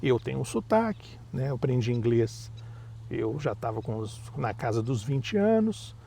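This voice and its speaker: A man's voice: medium pitch, sing-song, moderate pace, vocal fry, mellow, a little hiss or hum. A man in his thirties with a Brazilian Portuguese accent, chatting casually as a father and co-host, often agreeing with his partner. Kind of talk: casual chat.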